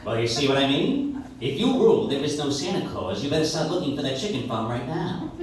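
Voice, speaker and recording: A man's voice: a little high, very animated, a little fast, a little hiss or hum. A man playing a blunt, sardonic political fixer in an old-time radio play, punchy and persuasive. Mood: sardonic, urgent. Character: wisecracking, hard-nosed political fixer, blunt male crony. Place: coffee shop stage, recorded from the audience. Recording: echoey live stage, distant audience recorder.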